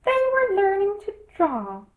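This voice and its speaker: A woman's voice: very high-pitched, huge pitch swings, a little slow, voice pushed up, heavily acted. A woman voicing a small, sleepy, easily offended male dormouse telling a story in a storybook reading. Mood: sleepy, storytelling.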